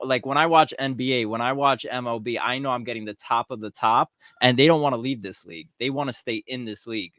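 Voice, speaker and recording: A man's voice: mid-range voice, pushed and bright, no room sound. A man co-hosting a casual soccer podcast in a small studio, thinking aloud and often saying "I would say". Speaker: podcast co-host. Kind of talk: casual sports chat.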